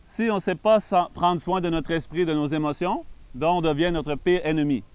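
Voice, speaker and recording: Québécois monk guy explaining meditation slow and calm, smooth crisp voice. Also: on the high side, no room sound. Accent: Québécois French. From Canada. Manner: calm, patient explaining with frequent pauses. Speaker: Buddhist monk and meditation teacher. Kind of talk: introductory talk on meditation.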